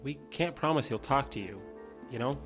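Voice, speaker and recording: A man's voice: medium pitch, lively intonation, moderate pace, over music, lightly acted. An actor playing an earnest, slightly awkward small-town man in an audio drama, hesitant and conciliatory. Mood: placating.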